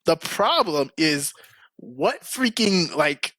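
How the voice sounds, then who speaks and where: A man with a fairly high, bright voice hosts a casual podcast discussion. His delivery is lively and emphatic, swinging widely in pitch. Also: slow pace, no room sound. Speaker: podcast host. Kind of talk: casual group podcast chat.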